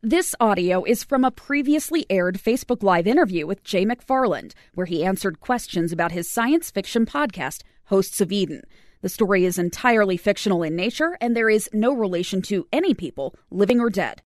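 A woman with a medium-pitched voice reads a scripted notice as an announcer. The sound is dry, with no room echo. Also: lively intonation, normal speed. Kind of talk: scripted disclaimer read.

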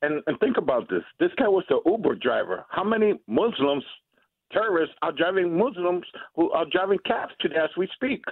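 A man's voice: sits high, sing-song, fairly quick, no room sound. A man from New York with a New York accent, calling in to a radio show, speaking urgently and repeating himself. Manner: urgent, repeats words at starts. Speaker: caller. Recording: tinny phone line.

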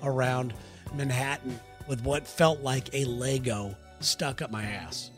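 A man's voice: fairly even intonation, takes it slowly, music in the background. A man co-hosting a comedy podcast, reading a listener letter aloud and joking around in a teasing way. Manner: teasing, jokey, breaks into falsetto song.

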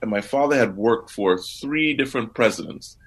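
Radio guest, man from Liberia, low voice, patient explainer who restarts his sentences. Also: animated, moderate pace, husky, low-quality recording. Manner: thoughtful, explanatory, with frequent restarts. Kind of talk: telling his life story in an interview.

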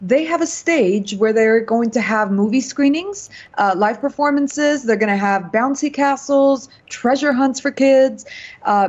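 A woman with a slightly high voice talks through what she found, explaining things step by step and leaning on plenty of "um" and "uh". Her sound is a little dull and lo-fi, like a modest broadcast line. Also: darkish tone. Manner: explanatory, with frequent fillers. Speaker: reporter. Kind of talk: radio segment chat.

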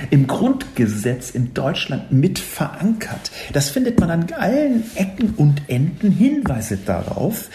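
A man from West Berlin, a columnist, giving an argumentative monologue with sharp emphatic peaks and frequent filler sounds. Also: on the high side, roomy sound. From West Berlin, Germany. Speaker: columnist responding to reader comments. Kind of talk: monologue commentary.

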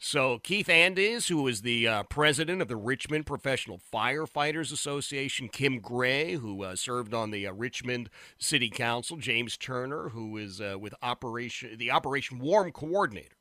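A man from the Philadelphia area hosting a radio talk show, speaking loudly and emphatically with fillers and restarts. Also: mid-range voice, expressive, normal speed, dry recording, studio quality. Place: radio studio. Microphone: studio microphone.

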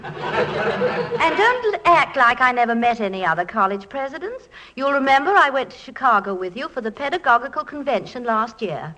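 Bright, high-voiced devoted wife. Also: female voice, sing-song, moderate pace, exaggerated voice. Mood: loyal, playful. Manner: theatrical, affectionate. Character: devoted, playful, naive wife of college president. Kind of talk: 1940s radio comedy-drama acting. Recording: faintly noisy 1940s radio recording.

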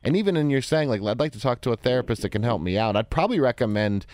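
A man with a hoarse, strained voice hosting a call-in advice show from a radio studio, coaching callers with direct questions. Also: mid-range voice, expressive, racing, darkish tone, no echo. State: hoarse, voice strained from a neck injury. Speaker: radio call-in host and therapist.

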